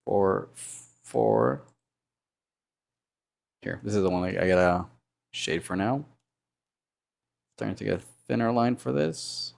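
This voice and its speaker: Male streamer, gravelly low baritone, sore throat, rambling thinking-aloud chat while animating. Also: takes it slowly, pauses often. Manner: rambling, thinks aloud, hums and mutters sound effects. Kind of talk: casual live-stream chat while working.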